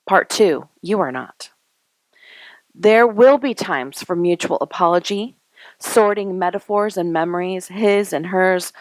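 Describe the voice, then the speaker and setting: A woman reading poetry aloud in a dramatic spoken-word style, with deliberate, emphatic, declarative phrasing broken by pauses. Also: on the low side, no echo, poor audio. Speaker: poet.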